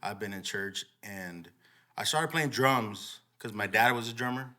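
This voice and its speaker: A man's voice: on the low side, lively intonation, unhurried, pushed and bright. A young man who grew up partly in El Salvador, chatting casually as a guest musician and often saying "bro.". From California, childhood in El Salvador. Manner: laid-back, says "bro" a lot, laughs easily. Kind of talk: casual podcast chat.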